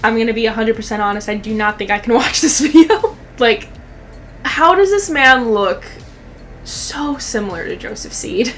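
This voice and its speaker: A woman in her twenties giving casual comedic reaction commentary as a channel host, sarcastic, profane and expressive. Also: on the high side, moderate pace, soft background music.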